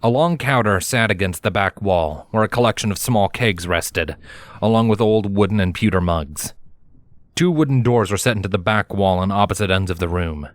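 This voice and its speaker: A man with a low voice narrates a fantasy tale. His delivery is scripted and dramatic, like an audiobook. The sound is dry, with no room echo. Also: animated, moderate pace.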